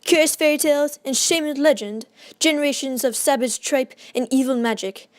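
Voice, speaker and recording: A woman voicing a pompous, scornful gentleman explorer in a radio drama, with haughty, formal diction. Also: high-pitched, normal speed, no room sound, studio quality, natural voice.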